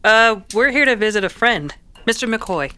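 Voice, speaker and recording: A woman's voice: on the high side, expressive, normal speed, pushed and bright, smooth. An actress playing a plucky, practical saloon woman in an Old West radio drama, plainspoken and folksy. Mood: guarded. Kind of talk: old-time radio western acting.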